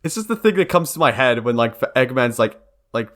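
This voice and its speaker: A man's voice: medium pitch, very animated, brisk, fairly bright tone. A young man giving casual, rambling behind-the-scenes commentary for a video, prone to giggling. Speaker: video creator and fan dub member.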